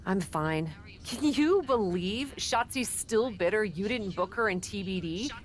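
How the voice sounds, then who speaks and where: An actress playing a young pro wrestler in a video game, offhand and breezy with a defensive edge. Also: female voice, mid-range voice, lively intonation, a little slow, natural voice. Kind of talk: casual video game cutscene dialogue.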